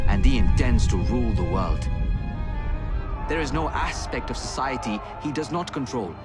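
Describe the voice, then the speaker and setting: Actor for a solemn assassin narrating a pleading letter, mid pitch, heavy score behind. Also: male voice, close to an everyday voice. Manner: grave, urgent, pleading. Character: grave male assassin pleading for aid. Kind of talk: dramatic game voice-over. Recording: voice-over under loud score.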